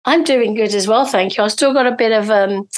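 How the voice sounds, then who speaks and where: A middle-aged woman hosting a casual podcast interview, with a slightly hoarse edge to her voice. She is enthusiastic and chatty, with plenty of ums and repeated words. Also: fairly high pitch, brisk. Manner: enthusiastic, chatty, many fillers. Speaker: podcast host.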